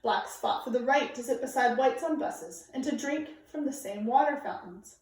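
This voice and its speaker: A woman reading a children's nonfiction book aloud in a measured, emphatic way, phrasing it for young listeners. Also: sits high, darkish tone. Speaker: storybook reader. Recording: very echoey room, distant microphone.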